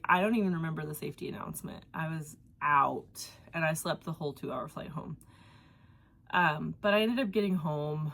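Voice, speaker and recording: A woman's voice: deep voice, vocal fry, fairly bright tone. A young woman hosting a knitting podcast from her home, chatting casually in a rambling way with fillers and a somewhat congested voice. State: congested from allergies. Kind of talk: casual chat.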